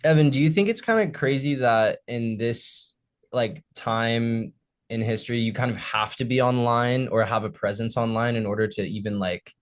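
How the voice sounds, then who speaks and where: A man's voice: medium pitch, normal speed. A young man co-hosting a podcast, asking questions casually and often restarting his phrases. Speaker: podcast co-host.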